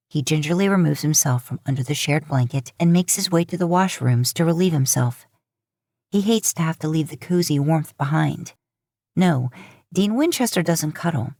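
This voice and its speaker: A woman reading scripted fiction as a narrator, with measured storytelling delivery. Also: low-pitched, a little mellow, no room sound, clean studio sound. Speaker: podfic narrator.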